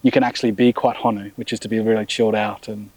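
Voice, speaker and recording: A middle-aged man, a brand founder, chatting in a relaxed interview with many restarts and fillers. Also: on the low side, fast talker, no room sound, rough recording. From Australia. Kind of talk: casual interview.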